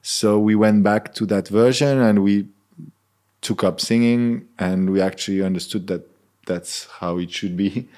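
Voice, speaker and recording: Low-voiced Swiss man in his thirties. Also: fairly even intonation, unhurried, darkish tone. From Switzerland. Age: 30s. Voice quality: low-pitched voice. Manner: earnest, searching for words, frequent restarts. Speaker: band member, guest. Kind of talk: casual interview chat.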